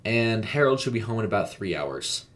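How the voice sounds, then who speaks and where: A man's voice: fairly low pitch, very animated, fairly bright tone. A man as a harried suburban mother. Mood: frantic, distracted. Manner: hurried, hesitant, apologetically polite. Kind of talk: audio storybook character voice.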